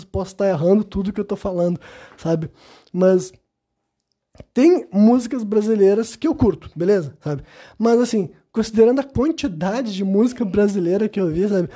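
A man's voice: sits high, mellow. A man from Brazil hosting a casual solo podcast, rambling with constant "sabe?" tags and hesitant restarts. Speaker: podcast host. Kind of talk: casual monologue.

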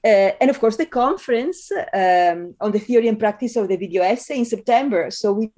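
A middle-aged Italian woman with a fairly high voice speaks as a scholar being interviewed. Her English has an Italian accent. She talks conversationally and hesitates often, with ums and pauses as she goes. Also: animated, mellow. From Italy. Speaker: interview guest, film scholar.

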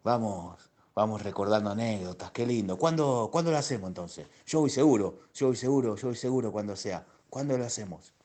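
A man's voice: on the low side, lively intonation, fairly quick, darkish tone. Man playing an overeager, nostalgic Argentine ex-classmate. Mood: eager. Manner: gushing, repeats phrases. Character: nostalgic, overeager middle-aged male former classmate. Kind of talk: comic radio drama in WhatsApp voice messages.

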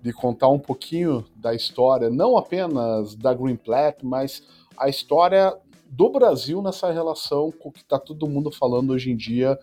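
Man, 40s, founder guest, mellow warm tone, easygoing storyteller. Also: mid-range voice, normal speed, faint music behind. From Brazil. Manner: relaxed storytelling with self-deprecating jokes. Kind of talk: podcast interview.

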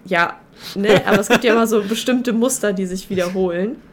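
A woman's voice: medium pitch, brisk. A young woman co-hosting a podcast in casual chat, with a joking, ironic manner. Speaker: podcast co-host.

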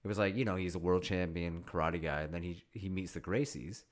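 A young man co-hosting a casual chat, animated and swearing freely, often acting out other people's lines. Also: low-pitched, a little fast. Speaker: podcast co-host.

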